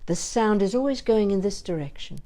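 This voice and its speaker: A woman voice coach leading a warm-up lesson in an informal, encouraging and playful way, explaining each exercise as she goes. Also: mid-range voice, lively intonation, fairly quick. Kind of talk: instructional vocal warm-up lesson with demonstrations.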